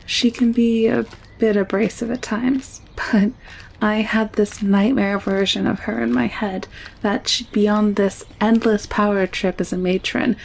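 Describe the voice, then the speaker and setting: A woman acting a friendly, candid alien woman in an audio roleplay drama, chatting in a caring, gently teasing way. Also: medium pitch, steady tone, measured pace, vocal fry, slight background noise, rough recording. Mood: reflective.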